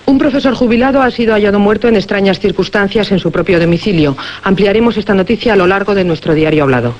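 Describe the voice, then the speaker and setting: A man playing a formal, measured radio newsreader in a radio drama, reading a grim bulletin. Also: high voice, lo-fi sound, close to an everyday voice. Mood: formal, grave.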